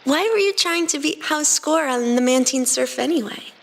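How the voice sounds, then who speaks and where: A woman's voice: sits high, expressive, normal speed, creaky, voice pushed up. A woman voicing a worried, scolding young girl who cares for her friend, in an acted fan fiction reading. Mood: questioning. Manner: chiding, concerned.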